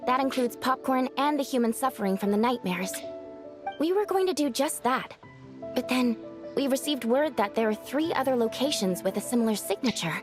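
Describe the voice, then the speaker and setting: A woman's voice: high voice, expressive, normal speed, over music. A woman voicing a polite, helpful, small round Baku creature in a video game, explaining things earnestly. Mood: earnest, informative.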